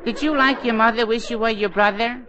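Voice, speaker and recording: A woman acting a brisk, batty psychiatrist who fires off questions in a rapid, officious, interrupting manner in 1940s radio comedy style. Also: fairly high pitch, pushed and bright, dull audio. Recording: noisy 1940s radio broadcast recording.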